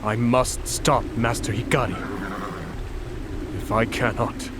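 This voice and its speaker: An actor playing a grave, loyal retainer in a dramatic video game, speaking in a measured way. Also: male voice, medium pitch, steady tone, lightly acted. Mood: grave, resolute. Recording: clear background noise and ambience.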